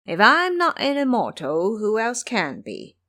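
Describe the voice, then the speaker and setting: A woman's voice: on the high side, huge pitch swings, measured pace, pushed and bright, no echo, pitched above the performer's own voice, exaggerated voice. A woman voicing a cunning, brash male monkey hero in an audio drama, with a sly, boastful, theatrical manner. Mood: sly, smug. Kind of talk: audio drama character acting.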